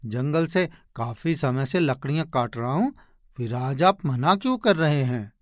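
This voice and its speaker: A man voicing a poor, humble woodcutter husband in an animated moral story, earnest and expressive. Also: medium pitch, a little slow, dry recording, clean studio sound, natural voice. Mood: earnest, pleading.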